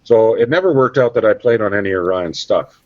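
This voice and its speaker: Relaxed Canadian man, low voice. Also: brisk, no echo. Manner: relaxed, rambling with many fillers. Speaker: podcast guest, bassist. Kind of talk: casual interview chat. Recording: dull, dry sound.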